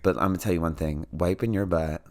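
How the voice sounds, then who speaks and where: A man's voice: deep voice, steady tone, fast, darkish tone. A man with a nasal voice co-hosting a casual comedy podcast, campy and teasing in manner. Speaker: podcast co-host, drag performer.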